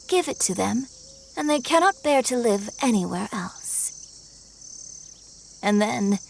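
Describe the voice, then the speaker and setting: An actress playing a mocking, sinister cult leader in a video game, with an archaic, taunting and ominous way of speaking. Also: female voice, on the high side, gravelly, a little hiss or hum, heavily acted. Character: ageless cult leader woman posing as young, mocking, sinister. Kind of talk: dramatic video game voice acting.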